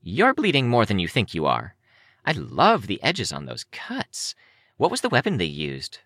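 A cheery girl prodigy from a story, acted out by a man, bouncy and morbidly curious. The voice is forward and bright, swinging wildly up and down, in very dry sound. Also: fairly low pitch, huge pitch swings, moderate pace, dry recording, voice pushed up, heavily acted. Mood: eager, clinical.

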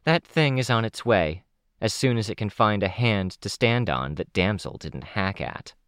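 A man voicing a wry, defensive, sharp-tongued woman in an audiobook, with a dry, blunt manner. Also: on the low side, expressive, moderate pace, clean tone, dry recording, pitched above the performer's own voice. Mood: defensive, curt.

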